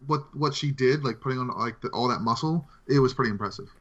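A man's voice: mid-range voice, fast talker, a bit bright. A man co-hosting a podcast, giving casual opinions about movies. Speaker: podcast co-host. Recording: slightly dull, muffled audio.

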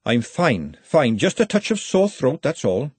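A man's voice: medium pitch, big pitch swings, measured pace, darkish tone, slightly rough, dry recording. A man voicing a practical, guarded working woman, a cook, in an audiobook. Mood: uneasy, guarded. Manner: firm, plain-spoken.

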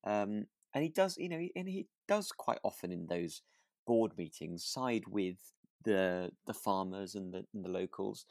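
A man chatting casually as co-host of a relaxed podcast. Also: on the low side, moderate pace, no echo, studio quality. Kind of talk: relaxed casual chat about a radio drama.

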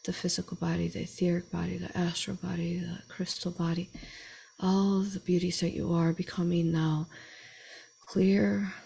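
A woman with a fairly low voice and a strong creak leads a guided meditation. She speaks slowly and softly, often slipping into a breathy whisper and leaving long pauses. There is faint noise behind her. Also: flat delivery. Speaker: guided meditation leader and channeler.

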